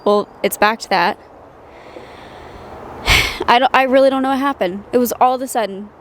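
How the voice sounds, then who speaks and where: A woman's voice: fairly high pitch, moderate pace. A woman with a nasally voice chatting casually to the camera in a car, thinking aloud and unsure of things. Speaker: vlogger. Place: inside a car. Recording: loud car and road noise. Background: loud vehicle noise.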